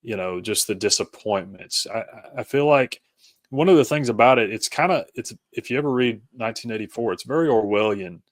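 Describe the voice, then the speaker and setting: A man speaking as an interview guest, reflective and conversational, thinking aloud in halting, thoughtful phrases. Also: medium pitch, expressive, no room sound, studio quality.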